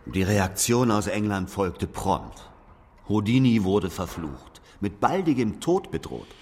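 A man's voice: fairly low pitch, very animated, slow, darkish tone, big-room sound, a little hiss or hum, heavily acted. An actor playing a sceptical investigator in a radio drama, pointed and accusing as he questions and recounts. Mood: confronting. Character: probing, sceptical male investigator. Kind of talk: radio drama dialogue and narration.

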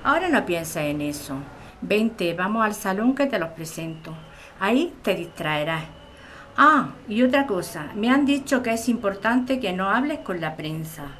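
A woman's voice: mid-range voice, very animated, unhurried, a bit bright, a little hiss or hum. A woman playing a practical supporter in a radio drama, reassuring and explaining things in a soothing manner.

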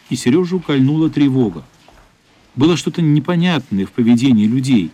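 A man narrating a literary radio play in a measured, somber, dramatic style. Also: medium pitch, warm tone. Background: faint music.

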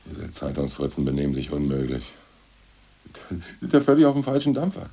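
An actor playing a brooding, ousted aging party official in a radio drama, who recites poems in a slow, solemn drone. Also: male voice, a bass voice, very animated, dark tone, slightly rough, heavily acted. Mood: indignant. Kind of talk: 1980s radio drama acting.

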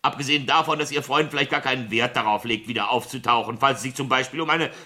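A man's voice: mid-range voice, fast talker, pushed and bright. An actor in a German radio play voicing an evasive, indignant man hiding a guilty secret, curt and agitated.

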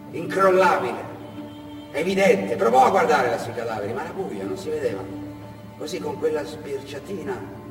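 A man giving a dramatic theatrical narration of a story, deliberate and intense, in short measured phrases. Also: a little high. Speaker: stage actor and storyteller. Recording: echoey hall, distant mic, music behind.

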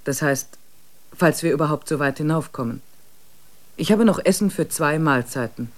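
A man's voice: fairly high pitch, expressive, moderate pace, close to an everyday voice. An actor playing a weary male expedition member who reads his diary entries in a sober, matter-of-fact way in a radio play.

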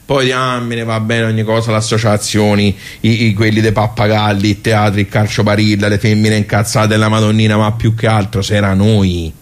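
An actor as a grumbling Tuscan man in his late seventies, low in pitch and worn by life. He rambles in earthy, colloquial speech, resigned and nostalgic, in the manner of a radio theatre soliloquy. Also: level tone, normal speed, few pauses, lightly acted. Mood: aggrieved, venting. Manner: rambling, colloquial, swearing, world-weary. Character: bitter, resigned 78-year-old working-class Tuscan man, nostalgic and grumbling.

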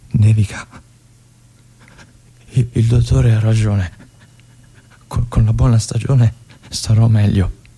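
An ailing veteran army lieutenant in a literary stage drama, forsaken and bitter. He speaks very slowly and haltingly, circling back over his own words. His brooding, yearning delivery belongs to a solitary theatrical monologue. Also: medium pitch, vocal fry, warm tone, breathy edge. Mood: weary, resigned. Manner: halting, repeating words, brooding, swinging from whispers to anguished cries.

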